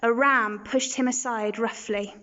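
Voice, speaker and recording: A woman's voice: fairly high pitch, steady tone, a little slow, bright, forceful tone, highs cut off, slight background noise. A woman teacher reading a children's storybook aloud.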